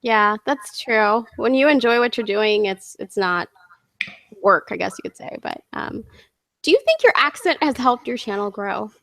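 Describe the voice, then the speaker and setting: A woman's voice: fairly high pitch, lively intonation, normal speed, gravelly, fairly bright tone, dry recording. Woman interviewer, dry close sound. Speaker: interviewer, story narrator. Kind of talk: casual interview chat.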